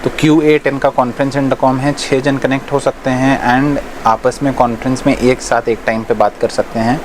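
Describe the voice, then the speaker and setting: A man's voice: mid-range voice, level tone, fairly quick. A man from India giving a casual, explanatory product demonstration, mixing English words into his talk. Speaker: shop presenter reviewing riding gear. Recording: clear background noise, vehicle sounds.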